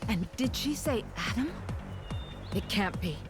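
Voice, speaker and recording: A woman acting as a coolly disdainful female officer in a video game cutscene. She speaks with formal, clipped authority and talks down to whoever faces her, over clearly audible music. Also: medium pitch, lively intonation, unhurried, lightly acted. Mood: taken aback.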